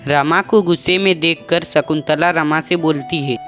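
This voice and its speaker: A narrator telling a cartoon horror story in a steady, matter-of-fact way. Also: mid-range voice, normal speed. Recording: music bed under the voice.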